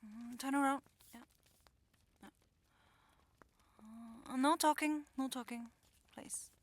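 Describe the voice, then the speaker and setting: A woman's voice: sits high, steady tone, slow pace. A woman playing a self-assured, impatient demon shopkeeper in a fantasy ASMR audio roleplay, with a theatrical, coaxing salesy manner. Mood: fussy, professional. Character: bossy, salesy demon-like soul shop keeper sister, impatient.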